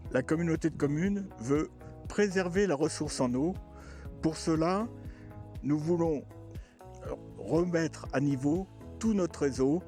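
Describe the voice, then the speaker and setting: A man speaking as a local elected official on location in a village, giving an institutional statement in short, deliberate phrases. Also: fairly high pitch, a little mellow.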